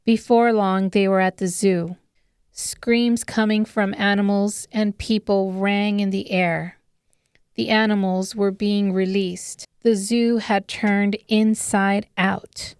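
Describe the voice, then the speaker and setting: A woman's voice: mid-range voice, steady tone, slow pace, darkish tone, smooth, dry recording, clean studio sound. A woman reading scripted, dramatic audiobook narration of a story.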